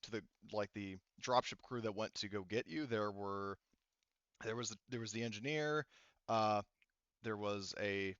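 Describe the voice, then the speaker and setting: Low, smooth-voiced man game master. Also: fairly bright tone, dry recording, highs cut off. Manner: halting, explanatory. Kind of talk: casual tabletop roleplaying game narration.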